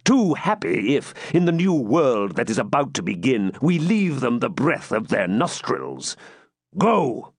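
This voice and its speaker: A savage medieval baron bellows his demands, drawn out and forceful, as a male audiobook narrator puts him on. The voice climbs and plunges with fury. It sits close and dry, with no room around it. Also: mid-range voice, sing-song, husky, no room sound, voice pushed up, full character voice. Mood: enraged. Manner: bellowing, threatening, slow and heavy. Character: furious cruel Norman baron, man, middle-aged. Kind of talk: audiobook character reading.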